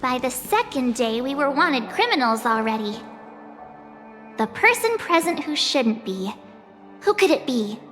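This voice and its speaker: A woman voicing a bubbly, impulsive teenage adventurer girl in an anime-style game, chatty and expressive. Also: high-pitched, measured pace, faint music behind, heavily acted. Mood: curious, feisty.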